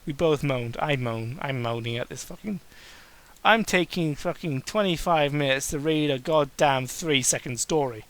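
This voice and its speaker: A man's voice: fairly high pitch, normal speed, a bit bright. A man doing a mocking dramatic reading, breaking into exasperated, sarcastic, sweary asides. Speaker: amateur reader and commentator.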